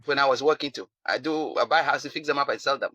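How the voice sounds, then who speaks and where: A woman in her seventies with a Ghanaian accent, answering interview questions over a video call, animated and quick to repeat and restart her words. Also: very deep voice, no echo. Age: 70s. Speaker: client giving a testimonial.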